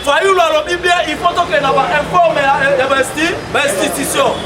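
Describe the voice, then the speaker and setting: Congolese male street orator, extremely high voice, call-and-response slogans, emphatic, repetitive, very noisy recording with traffic. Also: fairly even intonation, a little fast. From Democratic Republic of the Congo. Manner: emphatic, repetitive, prompting the crowd with questions and slogans. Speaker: political activist and street orator. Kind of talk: rallying political street speech with call and response. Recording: noisy outdoor crowd, roomy echoing sound, traffic. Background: loud crowd and vehicle noise.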